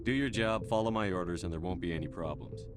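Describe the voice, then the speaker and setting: A man's voice: low-pitched, quick pace, music in the background, lightly acted. A man playing a stern, businesslike military commander in a video game, curt and no-nonsense.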